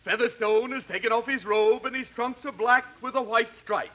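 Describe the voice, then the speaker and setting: An actor playing an excitable wrestling announcer in a 1950s radio comedy, calling it briskly and formally. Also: male voice, extremely high pitch, highs cut off. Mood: excited. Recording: faintly noisy 1950s radio recording.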